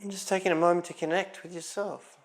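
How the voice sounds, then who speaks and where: A man leading a guided yoga class as instructor, giving short, calm, unhurried spoken cues. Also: high-pitched.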